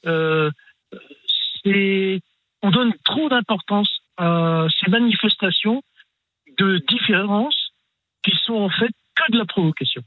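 A man's voice: high-pitched, slow pace, pauses often, no room sound. Older man on a tinny phone line. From France. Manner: hesitant, many fillers and restarts. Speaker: caller. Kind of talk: radio call-in.

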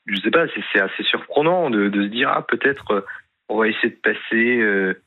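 A man calling in to a radio show, speaking hesitantly and haltingly, full of fillers. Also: fairly low pitch. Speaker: caller. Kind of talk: phone-in conversation. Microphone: telephone. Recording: tinny phone line.

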